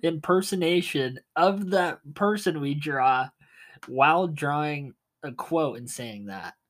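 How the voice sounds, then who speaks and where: A man's voice: a little high, slow pace, fairly bright tone. A young man co-hosting a casual podcast, stuffy-nosed from allergies, laughing and teasing often. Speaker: podcast co-host.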